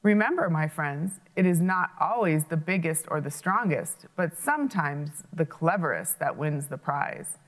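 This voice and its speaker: A woman voicing an old male leopard king who speaks in a measured, dignified, kindly way. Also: low voice, animated. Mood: wise.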